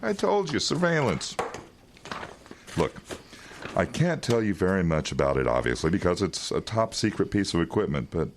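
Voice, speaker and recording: An actor playing a wry, quietly menacing intelligence agent in an audio drama, talking in an insinuating, condescending way. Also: male voice, low voice, very animated, normal speed, noisy background. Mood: guarded, persuasive. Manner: insinuating, condescending, with chuckles and hesitations.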